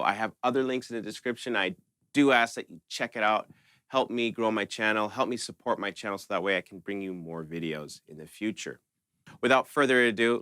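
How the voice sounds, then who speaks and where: A man's voice: lowish voice, pushed and bright, clean tone, no room sound, clean studio sound. A man hosting a synthesizer tutorial, talking in an informal, conversational way as he explains things step by step. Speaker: host of a synth tutorial channel. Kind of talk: casual step-by-step music tutorial.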